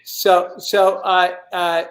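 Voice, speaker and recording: A man hosting a casual live interview, stammering and repeating words in an excitable way. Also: high-pitched, drawn-out words. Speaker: talk show host. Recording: roomy, echoey sound.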